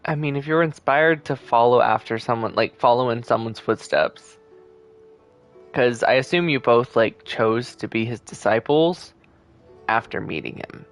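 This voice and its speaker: Male gaming streamer, mid-pitched, casual thinking-aloud commentary, faint music behind. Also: measured pace. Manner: musing, thinking out loud. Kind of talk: casual commentary over a game.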